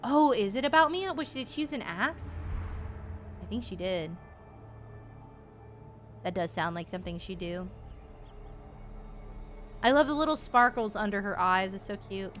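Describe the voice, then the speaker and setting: A young woman gives casual game reaction commentary in a bright, forward voice of medium pitch. She is expressive, playful and loose with swearing. Faint music sits behind her. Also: unhurried, pauses often.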